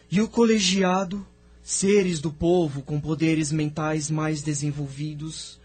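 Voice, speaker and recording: An actor playing a solemn, sorrowful seer who recounts his world's past in a reverent, drawn-out manner for an audio drama. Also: a little high, breathy edge. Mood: grave.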